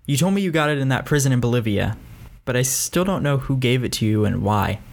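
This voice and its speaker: A man's voice: medium pitch, lively intonation, fairly quick, creaky. A man reading the part of Riley, a playful, affectionate young man, in a teasing tone.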